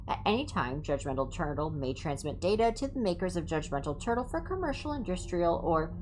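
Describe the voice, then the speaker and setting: Woman playing a high, chirpy, officious turtle artifact. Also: a little hiss or hum, lightly acted.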